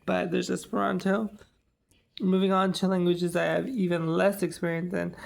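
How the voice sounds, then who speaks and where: A man's voice: high voice, level tone, moderate pace, vocal fry. A man in his twenties chatting casually in a vlog, rambling with lots of "like" and "um". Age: 20s. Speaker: vlogger.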